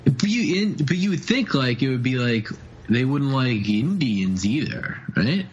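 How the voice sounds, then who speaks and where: A man's voice: medium pitch, big pitch swings, unhurried. A man co-hosting a podcast, giving casual, laid-back and slightly hesitant reactions. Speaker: podcast co-host. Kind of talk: casual chat, brief reactions. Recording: dull lo-fi sound, faint noise.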